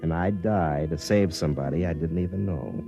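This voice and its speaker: An actor playing a cynical middle-aged American career soldier in a 1950s radio drama, plain-spoken and brooding. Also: male voice, deep voice, normal speed, vocal fry, mellow, faint music behind. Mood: bitter, brooding. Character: weary, cynical career soldier, twenty years in army. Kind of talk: 1950s radio drama acting, first-person narration. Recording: old band-limited 1950s radio recording.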